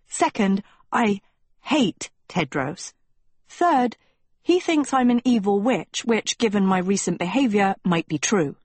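A woman's voice: mid-range voice, expressive, slow pace, a little mellow, slightly rough, dry recording. A woman voicing a glum, blunt teenage girl in a storybook, with an exasperated and defensive manner. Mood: exasperated, scornful.

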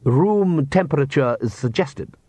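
A man voicing a cheerful, pedantic vampire count and family father, with a smooth, self-satisfied, drawn-out delivery. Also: medium pitch, animated, dark tone, no echo. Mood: calm, pleased.

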